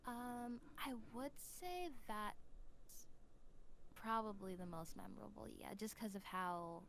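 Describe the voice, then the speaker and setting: Animated woman chatting casually, some background noise. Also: medium pitch, slow pace, creaky. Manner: animated, giggly storyteller. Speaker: voice actor, podcast guest. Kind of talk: casual interview chat.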